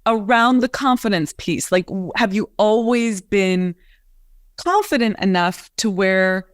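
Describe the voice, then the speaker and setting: A woman's voice: mid-range voice, lively intonation, measured pace, no echo, clean studio sound. A woman hosting a conversational interview as a coach, chatty and full of fillers as she asks her questions. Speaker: host and coach.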